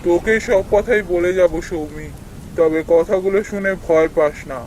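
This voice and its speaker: A man's voice: high voice, moderate pace, a little mellow, slight background noise, natural voice, rough recording. A man playing the sorrowful ghost of an office colleague in a cartoon story, speaking in a familiar, cautioning, brotherly way. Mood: solemn confession. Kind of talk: dramatic cartoon voice acting.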